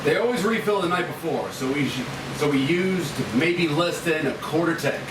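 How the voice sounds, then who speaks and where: A man's voice: fairly high pitch, normal speed, close to an everyday voice. A man reading the part of a helpful, practical bus driver at a table read. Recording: roomy, distant mic, loud room hum.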